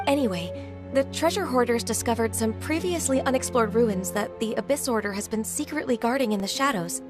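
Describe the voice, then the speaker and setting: A woman playing a gentle, earnest and dutiful young female secretary in an anime-style game, speaking politely and carefully. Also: fairly high pitch, expressive, brisk, natural voice. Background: game music.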